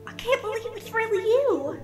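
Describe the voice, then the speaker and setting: A woman's voice: very high voice, expressive, moderate pace, music in the background. A woman voicing a young, sweet, confused country filly with a folksy, hesitant manner in a dramatic fan fiction reading. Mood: surprised.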